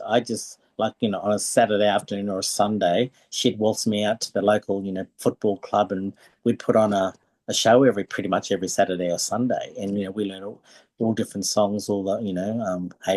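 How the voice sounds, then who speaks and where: A man's voice: lowish voice, darkish tone, dry recording. An older man with an Australian accent reminiscing conversationally as an interview guest and telling anecdotes. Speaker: interview guest, retired dancer and performer.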